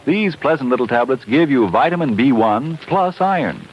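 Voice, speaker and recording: A man working as a radio announcer, reading formally in a measured 1940s broadcast style. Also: medium pitch, animated, a little hiss or hum. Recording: dull lo-fi 1940s radio recording.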